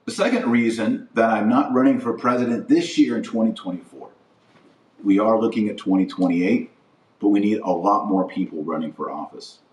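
Male podcast host, low register, emphatic and deliberate speechmaking, echoing room. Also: darkish tone. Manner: emphatic, deliberate, repeats phrases for weight. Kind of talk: political-religious monologue to an audience. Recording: roomy echo, distant microphone.

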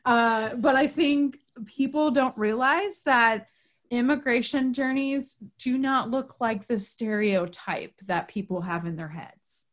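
A woman's voice: fairly high pitch, slow pace. A young adult woman hosting a podcast interview, upbeat and laughing often in casual chat. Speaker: podcast host.

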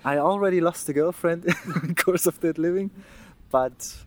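A man's voice: fairly high pitch, normal speed. A man from East Germany in his thirties, a German native speaker with a German accent, talking earnestly as an interview guest, often restarting words and laughing. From East Germany, Saxony. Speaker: guest, mime artist. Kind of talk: casual interview.

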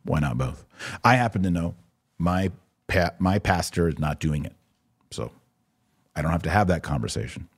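A man's voice: deep voice, expressive, moderate pace, pauses often, breathy edge, no echo, studio quality. A man hosting a podcast, giving casual religious commentary and answering listeners in a conversational, emphatic way. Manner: conversational, emphatic, acts out imagined exchanges.

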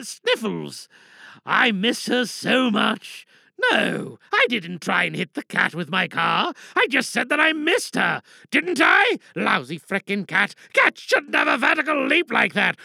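Performer putting on a frantic, high-pitched, self-righteous driver. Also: vocal fry, pushed and bright, dry recording, exaggerated voice. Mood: agitated, defensive. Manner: indignant, mock-pious, wheedling excuses. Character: frantic, self-righteous reckless driver lying to a police officer. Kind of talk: comic audio drama monologue.

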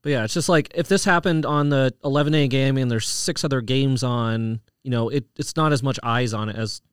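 A man's voice: medium pitch, brisk, clean tone, no echo, clean studio sound. An American man discussing sports casually as a podcast co-host.

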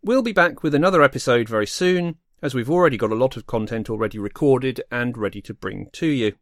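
A man's voice: medium pitch, fast, no room sound, clean studio sound. A man presenting a scripted podcast outro as host.

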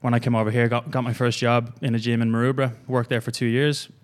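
A young man with an Irish accent, a gym coach, telling his story in a halting, rambling way with many fillers in a casual interview. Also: medium pitch, flat delivery. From Ireland.

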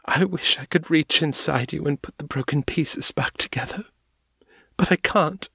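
A man voicing a gentle, devoted undead woman who tenderly calls her friend darling. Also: on the low side, measured pace, breathy edge, no room sound, clean studio sound. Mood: tearful. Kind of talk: audiobook character voice.

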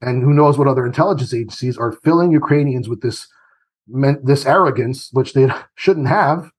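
A man's voice: mid-range voice, normal speed, breathy edge. A man giving his opinions as an interview guest, emphatic and argumentative, often acting out quoted voices. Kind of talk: opinionated political commentary.

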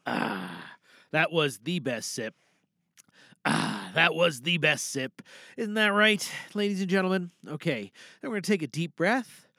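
A man's voice: on the high side, expressive, measured pace, bright, forceful tone, no room sound, clean studio sound. A man hosting a podcast in a casual, jokey, rambling way, chatting through his introduction. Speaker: podcast host.

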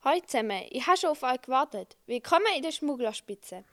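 A woman's voice: high-pitched, expressive, brisk, fairly bright tone. A female amateur playing Pierre, a welcoming boy, with careful recited delivery in a children's radio play. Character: Pierre, welcoming boy host with a secretive house.

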